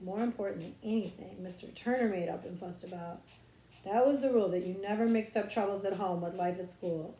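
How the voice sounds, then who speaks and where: A woman narrates an audiobook in an expressive storytelling style. Her pitch is medium, and the recording has clear room echo. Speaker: audiobook reader, librarian.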